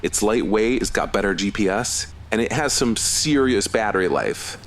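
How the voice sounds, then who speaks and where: An easygoing young runner and loyal friend, played by a man in an audio drama. His voice sits a little low, with an earnest, gently hesitant conversational delivery. There is faint noise behind him. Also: lively intonation, normal speed. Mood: earnest, gentle. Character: easygoing, caring young male runner and cryptid nerd. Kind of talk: naturalistic audio drama acting.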